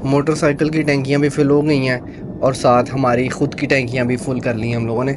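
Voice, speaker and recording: A man in his thirties, a motorcycle vlogger, chatting in a relaxed way while riding on a highway and mixing English words into his talk. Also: fairly quick. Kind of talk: casual travel narration while riding. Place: on a motorcycle on a desert highway, outdoors. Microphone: helmet or action-camera mic. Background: faint wind and engine noise.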